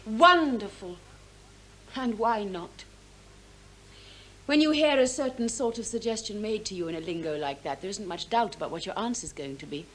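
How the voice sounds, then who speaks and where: A woman's voice: medium pitch, animated, moderate pace, fairly bright tone, slight background noise, natural voice, poor audio. An actress playing an impetuous, scornful, defiant young woman in a radio drama, with intense, declamatory delivery. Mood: bitter. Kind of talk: theatrical radio drama acting.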